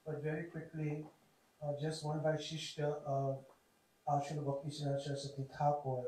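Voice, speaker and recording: Hoarse man speaking in a cavernous hall. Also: fairly high pitch, monotone, warm tone. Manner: earnest, explanatory, measured. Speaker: devotee speaker. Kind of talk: devotional talk. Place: temple hall. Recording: very echoey hall, voice from far off.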